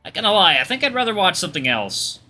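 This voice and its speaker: A performer voicing a gentle, slightly awkward young male college student, mild, hesitant and polite. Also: mid-range voice, very animated, fast talker, soft background music, voice pushed up.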